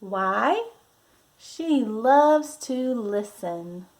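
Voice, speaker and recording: A woman with a slightly high voice reads to young children at a very unhurried pace. She speaks as a mindfulness teacher would, deliberate and gently instructive, guiding small listeners through the story. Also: lively intonation. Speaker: children's yoga and mindfulness teacher reading a picture book. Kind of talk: children's read-aloud with guided breathing. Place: at home.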